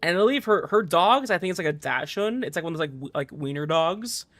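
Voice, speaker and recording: A man's voice: fairly high pitch, animated, fast, fairly bright tone, slight background noise. A man doing casual livestream game commentary, chatty, repeating words and trailing off. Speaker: game streamer.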